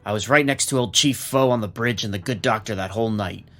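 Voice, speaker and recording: An actor playing a gruff, commanding police captain, curt and sardonic, in a noir audio drama. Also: male voice, lowish voice, quick pace, a bit bright. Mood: gruff, impatient. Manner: curt, authoritative.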